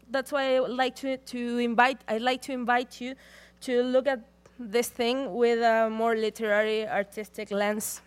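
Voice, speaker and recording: Young woman giving a measured talk. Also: high voice, clear, resonant tone. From Chile. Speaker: conference speaker. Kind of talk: prepared conference talk.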